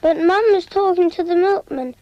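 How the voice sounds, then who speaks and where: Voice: very high-pitched, normal speed, muffled recording. An actor voicing a small child who narrates a cautionary cartoon in a simple, childlike storytelling way. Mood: earnest.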